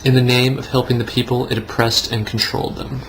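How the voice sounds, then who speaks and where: A man's voice: mid-range voice, level tone, a little fast, fairly bright tone, a little hiss or hum, rough recording. A young man reading aloud mock-seriously, with wry, sarcastic asides. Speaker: co-host.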